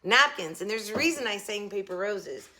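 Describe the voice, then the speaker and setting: A woman hosting a casual craft vlog, a little hoarse, chatting excitedly as she opens a package. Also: mid-range voice, moderate pace, slight background noise, rough recording. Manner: chatty, excitable, jokes with a pet. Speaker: craft channel host.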